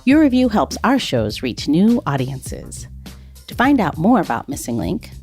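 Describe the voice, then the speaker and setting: A woman delivers scripted podcast credits and promotion. Her medium-pitched voice has a lively, varied melody, with music underneath. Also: measured pace. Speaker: podcast announcer.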